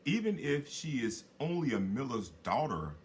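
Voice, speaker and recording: A man's voice: mid-range voice, big pitch swings, moderate pace, slight background noise, close to an everyday voice. A man voicing a greedy, commanding king with an imperious manner in a fairy tale. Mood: calculating.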